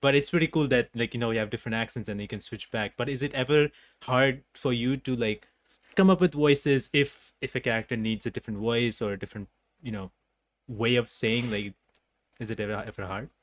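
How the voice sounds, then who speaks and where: Man, slight Indian accent, podcast host, casual questions, middle pitch. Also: fairly quick, no room sound, studio quality. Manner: loose, filler-heavy questions. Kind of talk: casual interview chat.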